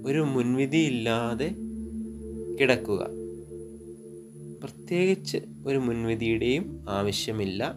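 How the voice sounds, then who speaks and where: A man with a Malayali accent guiding sleep hypnosis meditation, soothing and unhurried, pausing between short phrases over relaxing music. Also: mid-range voice, animated. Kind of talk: guided sleep hypnosis narration. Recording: relaxing music with singing bowls behind.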